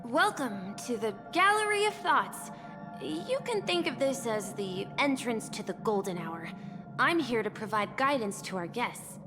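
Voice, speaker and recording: A woman's voice: a little high, big pitch swings, a little slow. A woman voicing an eager young female guide at a dream hotel in a video game, polite, earnest and apologetic. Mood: delighted, shy. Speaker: guest guide. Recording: long hall reverb, loud music behind.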